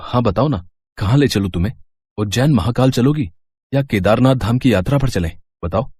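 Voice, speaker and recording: A man acts an eager, easygoing young husband in a cartoon tale. His delivery is earnest, with very varied pitch and a close, dry sound. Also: animated, brisk, darkish tone, slightly rough, no room sound. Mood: agreeable. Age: young adult. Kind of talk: animated moral story voice acting.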